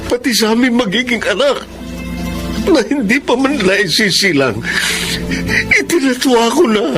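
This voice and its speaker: A man's voice: extremely high pitch, animated, measured pace, loud music behind the voice. A man playing a remorseful husband in a Filipino radio drama, speaking earnestly and emotionally. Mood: remorseful, distressed. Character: remorseful husband and newspaper artist confessing his mistakes.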